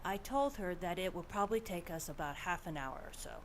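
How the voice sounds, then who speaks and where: Lowish-voiced practical woman acted investigator. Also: lively intonation, brisk, slight background noise, lightly acted. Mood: curious, practical. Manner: plain-spoken, conversational. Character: practical, caring businesswoman investigator, a mother. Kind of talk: audio drama acting. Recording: music behind the voice.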